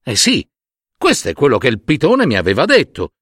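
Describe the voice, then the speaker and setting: A man's voice: mid-range voice, wildly swooping pitch, fairly quick, fairly bright tone, slightly rough, dry recording, studio quality, exaggerated voice. A man voicing a henpecked but stubborn husband in a folk tale, with an emphatic way of repeating words. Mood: meek, hesitant. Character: henpecked husband, meek with wife, stubborn when pushed.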